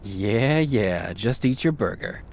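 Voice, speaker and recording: A man's voice: fairly low pitch, lively intonation, takes it slowly, creaky, a little mellow, heavily acted. A man playing an easygoing young friend in an audio roleplay, casual and drily teasing. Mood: dry, teasing. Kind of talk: interactive audio roleplay acting.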